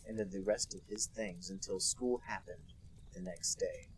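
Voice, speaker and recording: A man co-hosting a comedy podcast, reading a fanfic aloud in a deadpan way while holding back laughter. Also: low-pitched, normal speed, noisy background.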